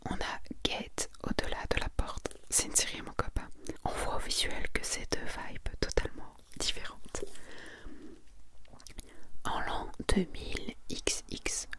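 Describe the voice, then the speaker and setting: Female ASMR host. Breathy whisper, very slow pace, gentle read-aloud presentation. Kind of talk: ASMR manga presentation, reading summaries aloud.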